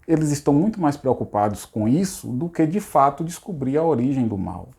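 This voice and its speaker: A hoarse middle-aged man, a psychologist giving an unscripted self-help talk on spirituality, repeating himself and asking rhetorical questions. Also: on the high side, sing-song, fairly quick, mellow. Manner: repetitive, rhetorical, casual asides. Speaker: psychologist hosting a channel.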